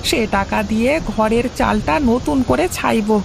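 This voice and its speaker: A woman voicing a poor, kind-hearted working father in an animated moral tale, speaking earnestly and colloquially. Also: fairly high pitch, normal speed, gravelly, background noise. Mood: hopeful, thinking aloud.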